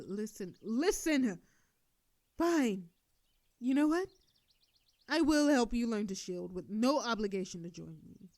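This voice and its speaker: A woman playing a proud, sardonic female telepathic villain with a hidden soft side, in a theatrical scripted audio drama. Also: medium pitch, no room sound. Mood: exasperated, haughty. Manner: theatrical, indignant then soothing.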